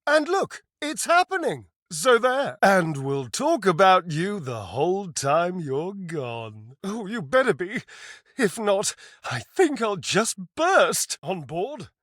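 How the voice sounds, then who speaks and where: A performer playing an effusive, dramatic, excitable friend in a video game, gushing and melodramatic. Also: medium pitch, slow pace, no room sound, clean studio sound, exaggerated voice. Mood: gushing, excited. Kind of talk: theatrical video game voice acting.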